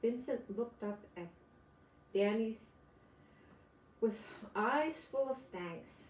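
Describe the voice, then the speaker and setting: An older woman reads and talks to young children like a storytelling grandmother. Her delivery is slow and gentle, with simple phrasing and a medium pitch. The room around her is clearly echoey, with faint noise behind. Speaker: storytelling grandmother reading picture books to children. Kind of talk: children's read-aloud and chat to young listeners.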